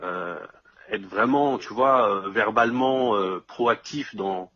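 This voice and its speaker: A man's voice: lowish voice, slow, husky, muffled recording. A man in casual conversation, explaining things step by step and often checking that his listener follows.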